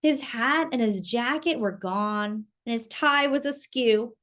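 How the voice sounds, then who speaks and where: A woman reading a picture book aloud to young children in an expressive, exclamatory way, with chatty asides and questions. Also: fairly high pitch, takes it slowly. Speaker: children's storytime reader.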